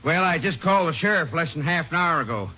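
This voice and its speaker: A folksy country rancher. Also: male voice, a little high, lively intonation, fast talker, pushed and bright. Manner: folksy drawl, rambling. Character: rural rancher man, folksy and flustered. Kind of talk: 1950s radio drama acting. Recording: hissy lo-fi vintage radio recording.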